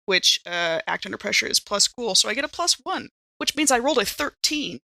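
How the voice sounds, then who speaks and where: A woman's voice: on the high side, lively intonation, brisk, vocal fry, sharp tone, dry recording. A woman playing a tabletop game on a podcast, chatting casually and playfully about her character.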